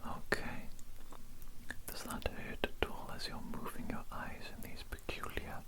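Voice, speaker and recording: Man, breathy unvoiced whisper, ASMR medical roleplay, creepy formal doctor, deliberate repeated instructions. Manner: slow, deliberate, repetitive instructions. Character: creepy, politely formal male evil doctor. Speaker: ASMR performer playing a doctor.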